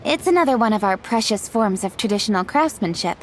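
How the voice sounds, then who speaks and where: An actress playing a poised, earnest young woman official in a video game, speaking in an articulate, measured, explanatory way. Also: medium pitch, animated, a little hiss or hum, lightly acted. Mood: thoughtful, earnest. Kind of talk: scripted video game dialogue acting. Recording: music behind the voice.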